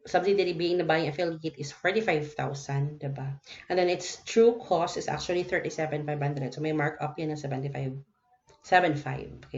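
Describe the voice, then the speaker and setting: A woman's voice: sits low, darkish tone. A woman with a Filipino accent explaining accounting step by step in an informal lecture, hesitating and often checking that listeners follow. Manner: code-switching, hesitant, frequently checks understanding with tag questions. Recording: dull, lo-fi voice recording.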